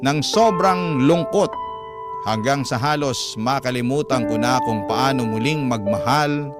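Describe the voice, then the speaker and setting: A man with a Filipino accent reading a dramatic first-person story in a sorrowful, measured manner. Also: medium pitch, over loud music. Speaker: radio story narrator.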